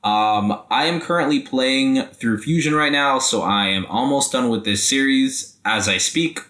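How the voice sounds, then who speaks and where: A man's voice: medium pitch, unhurried, sharp tone, echoey room. A young man hosting a casual solo podcast, rambling and hesitant with many fillers, his voice tired from a sore throat. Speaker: podcast host.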